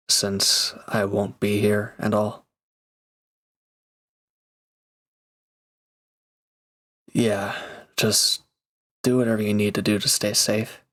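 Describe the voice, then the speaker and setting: A reformed villain acted by a man speaks in an intimate audio drama. His voice sits fairly low and moves very slowly. He is gruff but caring, halting and awkward whenever affection shows. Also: a bit bright. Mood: tender, reluctant.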